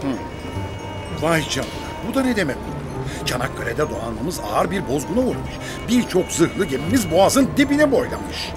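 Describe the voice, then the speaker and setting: An actor playing an indignant, burly English gentleman in a cartoon, blustery and exclamatory. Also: fairly high pitch, big pitch swings, normal speed, over loud music. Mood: shocked indignation. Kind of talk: dramatic cartoon dubbing.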